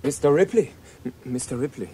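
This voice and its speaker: A man's voice: mid-range voice, expressive, fairly quick, warm tone, slight background noise, natural voice. An actor playing a polite, guarded eighteen-year-old American boy in a radio play, speaking with reserved hesitation. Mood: nervous, polite.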